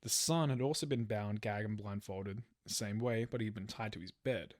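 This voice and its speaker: A man's voice: fairly low pitch, moderate pace, darkish tone, no room sound, studio quality. A man telling a true crime story as a podcast host, partly from a script. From Australia.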